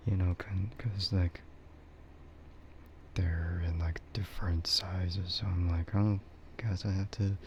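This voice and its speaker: A soft-spoken man streaming casual, rambling ASMR, often slipping into a half-whisper close to the microphone. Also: sits low, a little hiss or hum. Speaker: VTuber livestreamer.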